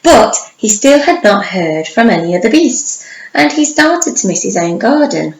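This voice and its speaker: A woman reading a children's story aloud like a primary teacher, with dramatic pauses and drawn-out words for young listeners. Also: mid-range voice, big pitch swings. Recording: echoey room, faint background noise.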